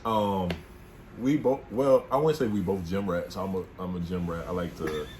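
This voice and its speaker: A man's voice: low voice, moderate pace, darkish tone. A man, chatting casually and goofily on a couple's vlog. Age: 20s. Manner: laid-back, goofy, hypes himself up. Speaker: vlogger. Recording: noisy room.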